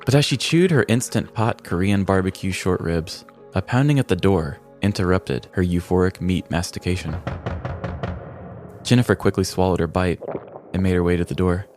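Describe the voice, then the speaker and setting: A man's voice: deep voice, moderate pace, a little mellow, faint music behind. A man hosting a podcast, reading a scripted, tongue-in-cheek true-crime story in a storyteller's manner. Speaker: podcast host. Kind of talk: scripted dramatic true-crime narration, comedic.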